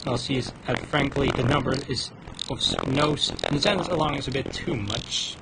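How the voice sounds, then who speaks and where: Creaky-voiced woman reading aloud on a livestream. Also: extremely low pitch, brisk. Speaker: game livestreamer. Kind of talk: reading visual novel narration aloud. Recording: clear noise with clicks.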